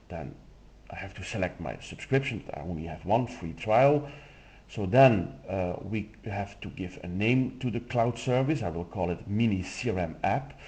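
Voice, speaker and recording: A man whose first language is Dutch, explaining a software demo step by step in a relaxed way with some fillers. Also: sits low. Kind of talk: screen-recorded software walkthrough.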